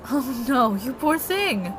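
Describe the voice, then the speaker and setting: A woman playing a gentle, sweet young woman in a sci-fi audio drama. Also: sits high, very animated, takes it slowly, over music, exaggerated voice, rough recording. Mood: sympathetic. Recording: clear ambient noise behind.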